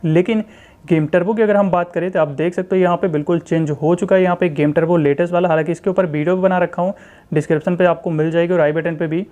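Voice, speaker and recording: A man's voice: high voice, fairly even intonation, fast talker, darkish tone. A man with an Indian accent giving a casual tech walkthrough, explaining things to viewers directly and repetitively. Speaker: tech channel host reviewing phone software. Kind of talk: casual screen-recording walkthrough commentary.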